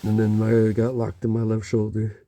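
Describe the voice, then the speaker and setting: A man talking in a halting, rambling monologue, murmuring and pausing with frequent low hums. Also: monotone, mellow, a little hiss or hum.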